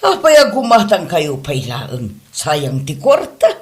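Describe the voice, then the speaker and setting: A woman's voice: sits low, wildly swooping pitch, slow, heavily acted. A woman acting as a doting but meddling, thrifty mother of a grown son in a comedy drama. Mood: insistent.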